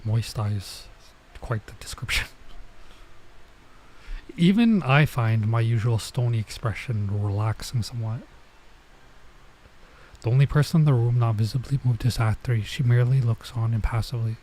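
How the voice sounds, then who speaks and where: Mellow-voiced man streaming a game. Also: mid-range voice, poor audio. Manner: halting when sounding out foreign words. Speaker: streamer playing a visual novel. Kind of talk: casual let's play, reading game text aloud.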